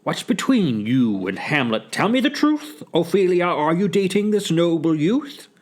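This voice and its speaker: A man's voice: on the high side, big pitch swings, a little slow, pitched above the performer's own voice. A man voicing a stern, scornful, controlling father in a storytelling performance, scolding with emphasis. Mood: prying.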